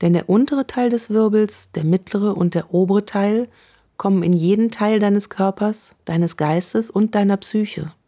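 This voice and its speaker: A woman guiding a meditation in a slow, calm, soothing voice, speaking affirmations to the listener. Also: mid-range voice. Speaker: meditation guide. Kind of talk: guided meditation.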